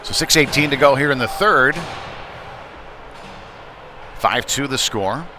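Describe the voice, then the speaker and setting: A man's voice: mid-range voice, takes it slowly, noisy background. A man giving live play-by-play commentary of a youth ice hockey game, with conversational, folksy asides.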